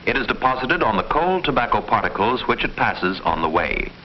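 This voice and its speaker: A man reading formal, measured, didactic scripted narration in the style of a mid-century educational film. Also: mid-range voice, big pitch swings. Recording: muffled, noisy old film soundtrack.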